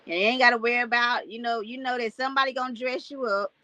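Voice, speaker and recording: A woman in her thirties from North Carolina with a squeaky voice, chatting casually as an interview guest, laughing often and using lots of fillers. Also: fairly even intonation, fast, sharp tone, dry recording, lo-fi sound. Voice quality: self-described squeaky voice. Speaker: guest artist.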